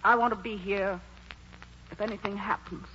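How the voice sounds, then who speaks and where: Woman as a bright-toned, weary stage actress. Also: medium pitch, fairly even intonation, normal speed, lightly acted. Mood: grave, resigned. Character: weary, devoted daughter, celebrated stage actress. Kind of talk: 1940s radio play acting. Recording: muffled, noisy radio broadcast, hall-like reverb.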